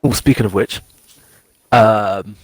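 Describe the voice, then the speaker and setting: A man's voice: lowish voice, fairly even intonation, slow pace, husky, no room sound, rough recording. A man with a mid-range voice co-commentates a live sim race. He drops casual, offhand remarks between his partner's calls.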